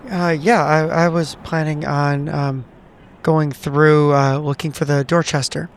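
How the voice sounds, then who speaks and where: A man's voice: a little high, little pitch movement, unhurried. A man playing a tabletop game, casually improvising and describing his character's actions, somewhat hesitant and repeating words.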